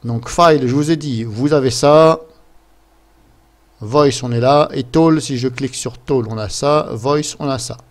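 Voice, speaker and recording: Guy doing a casual software walkthrough, medium pitch, kinda rambly and hesitant, lots of filler words. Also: measured pace. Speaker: software tutorial presenter. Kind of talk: casual improvised screen-share tutorial. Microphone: computer microphone.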